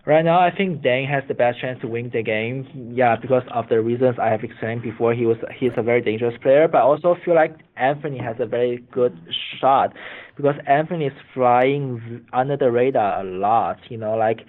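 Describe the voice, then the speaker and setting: Man on a phone line, halting speech. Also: mid-range voice, lively intonation. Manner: halting, repeats and restarts words often. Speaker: former reality show contestant being interviewed. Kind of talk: spontaneous interview talk. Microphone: phone call. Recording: phone line, band-limited.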